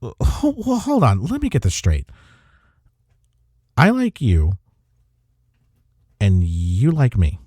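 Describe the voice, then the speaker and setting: A man playing a teasing, tender office rival in an intimate romantic audio roleplay, speaking haltingly with breathy sighs and murmurs. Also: medium pitch, wildly swooping pitch, dark tone, no room sound, studio quality, heavily acted. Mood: stunned, amused. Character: young male coworker rival confessing love, teasing and tender.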